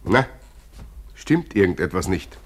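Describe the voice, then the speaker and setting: An actor playing a brusque, weary hiring clerk in a radio play, curt, clipped and officious. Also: male voice, low voice, big pitch swings, measured pace, slight background noise.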